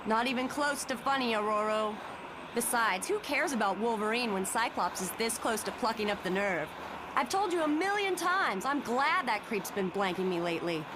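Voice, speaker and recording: A woman's voice: a little high, lively intonation, moderate pace, lightly acted. A young woman acting a bantering, defensive superheroine in a motion comic. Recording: clear background ambience noise.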